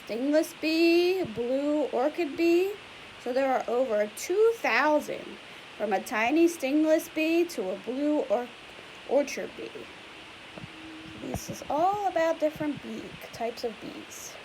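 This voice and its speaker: A young woman reads a picture book aloud in a lively, rising-and-falling voice that sits a bit high. She explains things in a chatty way and keeps asking the children questions. A faint hiss runs under the recording. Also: slow pace, low-quality recording. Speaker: teacher reading aloud. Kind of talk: read-aloud lesson for children.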